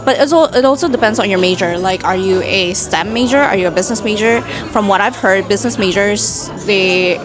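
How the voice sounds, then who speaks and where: A young woman in her twenties from Indonesia, chatting casually in a Q&A vlog, full of "like"s and asides. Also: mid-range voice. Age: 20s. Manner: chatty, many "like"s, quick asides. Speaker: vlogger. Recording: music playing in the background.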